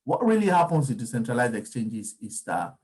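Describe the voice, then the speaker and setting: A man's voice: mid-range voice, sing-song, a little fast, a little mellow. Man explaining markets. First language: Yoruba. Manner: discursive, frequent ums and restarts. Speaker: crypto market commentator. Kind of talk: casual explanatory talk.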